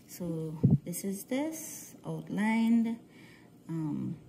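A woman from Guyana with a mixed Guyanese-Trinidadian accent, talking through a nail art tutorial in a relaxed, halting way with many fillers, often dropping to a near whisper. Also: on the low side, gravelly, very noisy. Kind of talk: casual voice-over tutorial.

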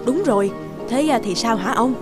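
A woman's voice: fairly high pitch, animated, moderate pace, natural voice. A woman voicing a kindly, trusting village housewife in a cartoon folk tale, polite and solicitous. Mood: curious, polite. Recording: music behind the voice.

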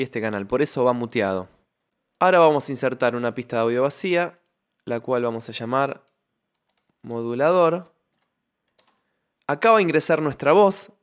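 Guy doing a tutorial voiceover, normal pitch, close mic, walks you thru it step by step. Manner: clear step-by-step explaining. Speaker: tutorial presenter. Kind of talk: instructional software tutorial narration. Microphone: close microphone voice-over.

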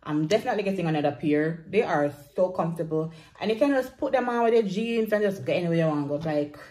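A woman's voice: on the low side, fast, poor audio. Jamaican woman chatting to her phone. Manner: chatty, relaxed, slips into patois. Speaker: vlogger. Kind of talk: casual vlog chat to camera. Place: small home bathroom and bedroom, indoors. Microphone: phone camera at arm's length.

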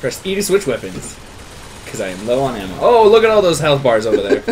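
A man chats casually as he plays a video game, with very lively swings in pitch. He is crude and excitable, swearing and mocking himself, over a faint bed of game sound. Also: brisk, rough recording. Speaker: gamer playing a video game on a channel. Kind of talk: casual gameplay commentary with banter. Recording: faint game noise behind voice.

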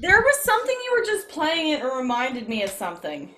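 A woman's voice: high voice, wildly swooping pitch, brisk. A young woman, bubbly and effusive, chatting casually as she hosts an online music gathering from a room at home over a laptop. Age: young adult. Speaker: music teacher hosting an online open mic. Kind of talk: casual chat on a video call. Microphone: laptop on a video call.

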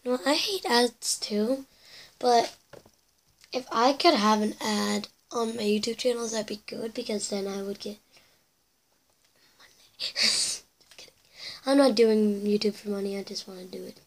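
A woman's voice: mid-range voice, a little slow, long pauses. A teenage girl chatting to her webcam in her bedroom, rambling through viewer comments with whispered asides, her voice a bit cracky from losing it. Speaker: YouTuber reading viewer comments. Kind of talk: casual chat to camera. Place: bedroom indoors.

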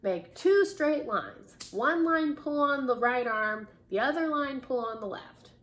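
A woman's voice: high-pitched, expressive, unhurried, fairly bright tone, echoey room, pitched above the performer's own voice. A woman voicing a stern, pompous male school principal in a storybook reading. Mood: stern, commanding. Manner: commanding, formal, pompous.

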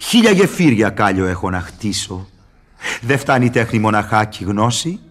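An actor playing a sly, mocking devil tempter in a theatrical radio verse drama. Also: male voice, on the low side, animated. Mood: breezy, coaxing.

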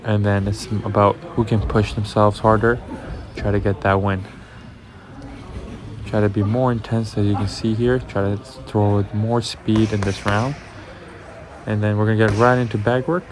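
A man's voice: fairly low pitch, noisy background. A young man with a cold talking viewers through his boxing workout in a voice-over, coaching them as guys. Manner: instructive, addresses viewers as guys. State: has a cold, congested. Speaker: amateur boxer and fitness vlogger.

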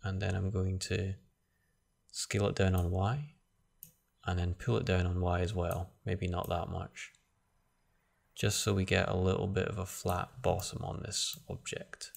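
A man with a low, gravelly voice walks through a software tutorial at his computer. He talks in a flat, relaxed way, thinking aloud and restarting his sentences as he goes. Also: unhurried. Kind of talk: screen-recorded software tutorial.

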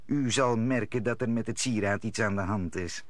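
An actor playing a scheming, powerful cardinal with smooth words in a radio play. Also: male voice, fairly low pitch, normal speed, no echo, natural voice. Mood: calculating.

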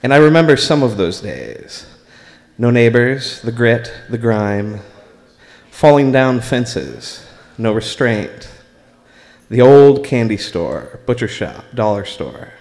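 An American man reading his own writing aloud at a live open mic, in a deliberate, measured way with pauses between short phrases. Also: medium pitch, lively intonation, a little mellow. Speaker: spoken word performer reading own writing. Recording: live recording in a reverberant room.

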